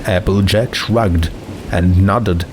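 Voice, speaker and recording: A man narrating a scripted story in a measured, dramatic manner. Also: low voice, fairly even intonation, a little hiss or hum. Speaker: narrator, fan fiction reader.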